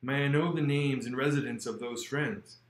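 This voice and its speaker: A man doing a gentle blind old exile. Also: medium pitch, close to an everyday voice. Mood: kindly. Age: older. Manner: gentle, earnest, measured. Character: blind, kind, elderly male exile. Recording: roomy echo.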